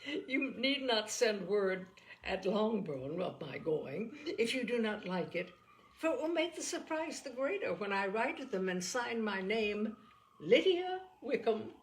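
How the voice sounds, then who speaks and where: A woman's voice: mid-range voice, very animated, measured pace. Woman acting a giddy young girl, gushing, playful, boastful, storybook character. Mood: giddy, gleeful. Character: giddy, thoughtless, boastful young girl reading out as letter writer.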